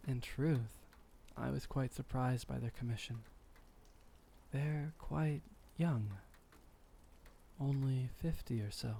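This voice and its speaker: A man acting as a centuries-old vampire lover speaks very slowly and softly, with a gravelly creak in his voice. He is gentle, melancholy and formal, musing as if at a bedside in an intimate ASMR roleplay. Faint noise sits behind him. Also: medium pitch. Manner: soft-spoken, unhurried, formal old-fashioned phrasing, musing.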